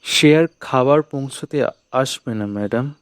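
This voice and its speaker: A man's voice: mid-range voice, a little slow, clean tone, no room sound, close to an everyday voice. A man playing a courteous, subdued young food delivery rider in an audio drama, with a polite, matter-of-fact way of talking. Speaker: voice actor in an audio story. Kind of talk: dramatized audio horror story dialogue.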